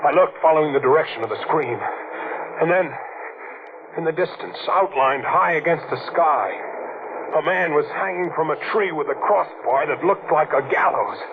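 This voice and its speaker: An actor playing a wary, stubborn adventurer in a 1940s radio thriller, terse and hard-boiled in narration and dialogue. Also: male voice, fairly high pitch, moderate pace, close to an everyday voice. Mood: alarmed. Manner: terse, tough, suspicious. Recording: tinny, noisy 1940s radio recording.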